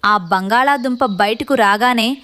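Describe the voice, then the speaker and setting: Very high-pitched woman storyteller. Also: a little slow, pushed and bright, no echo. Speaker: narrator. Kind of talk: scripted children's story narration.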